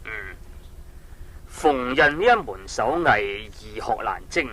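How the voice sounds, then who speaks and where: An actor playing a kindly, wise master tailor in a Cantonese audio storybook drama from Hong Kong, instructing and encouraging in a measured manner. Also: male voice, mid-range voice, expressive, fairly bright tone. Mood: instructive.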